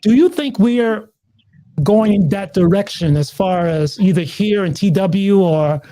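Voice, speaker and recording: A man's voice: high-pitched, lively intonation, a little slow, dark tone. A man hosting a casual talk, hesitant and searching for words, who often restarts his sentences. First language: not Taiwanese, lives in Taiwan. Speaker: podcast host. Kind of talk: casual conversation.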